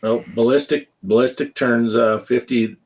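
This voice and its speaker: A man's voice: mid-range voice, fairly even intonation, moderate pace. A man in his fifties hosting a casual gaming podcast, joking around and reading chat comments aloud. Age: 50s. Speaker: podcast host.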